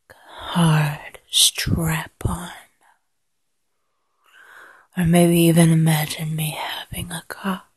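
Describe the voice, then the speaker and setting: A woman in an intimate, ASMR-style erotic roleplay, mostly whispering in a breathy voice with a slow, teasing murmur and lingering pauses. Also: sits low, dry recording.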